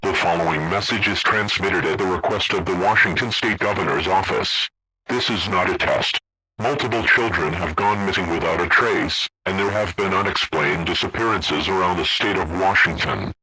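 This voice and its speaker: A man delivers an official emergency bulletin. His voice is very low and rough, yet forceful and bright. He reads it formally and stiffly, like a set script. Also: normal speed, no room sound, poor audio. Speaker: emergency alert announcer. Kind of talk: scripted emergency broadcast announcement.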